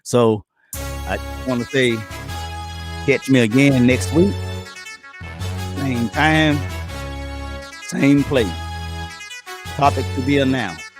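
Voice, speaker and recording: A man hosting a live-streamed community talk show, loose and halting with many ums and uhs, his voice going hoarse as he keeps clearing his throat. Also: mid-range voice, over music. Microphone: computer or phone on internet stream.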